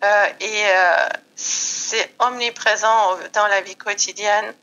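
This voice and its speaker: A middle-aged woman from Iran, speaking French with a Persian accent, talks reflectively in an interview with many pauses and fillers. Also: medium pitch, steady tone, bright, forceful tone, lo-fi sound. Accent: foreign accent in French. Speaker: guest. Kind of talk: slow reflective interview talk.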